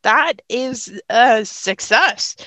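A woman's voice: fairly high pitch, lively intonation, extremely slow, bright, forceful tone, dry recording. A woman acting as game master, narrating an improvised tabletop story in a casual style.

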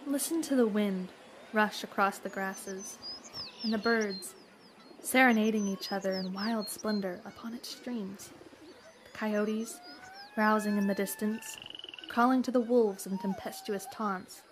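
A woman's voice: medium pitch, steady tone, unhurried, background noise, lightly acted. An actress playing a refined, bookish, earnestly enthusiastic young lady in a western audio drama, with formal, florid diction. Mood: composed, dreamy.

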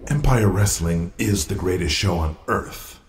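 A man playing a smug, domineering wrestling promoter for an audio drama, deep low voice. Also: animated, unhurried. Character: brash wrestling promoter, commanding and dismissive.

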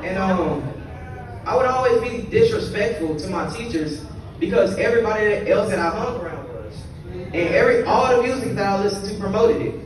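A man's voice: sits high, lively intonation, fairly quick, a crowd in the background. Young man preaching in a big, echoing church. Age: teens. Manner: earnest, reads scripture then preaches in short phrases. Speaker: youth speaker. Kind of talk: youth sermon at a convention. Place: large church sanctuary. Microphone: pulpit mic filmed by a phone from the pews. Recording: roomy far-off phone recording in a large hall. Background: congregation ambience.

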